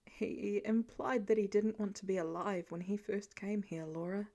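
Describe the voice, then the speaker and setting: A woman voicing a gentle, weary middle-aged father in an audiobook story, low-key and plain-spoken with a dry manner. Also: steady tone, moderate pace, lightly acted. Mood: subdued, heavy.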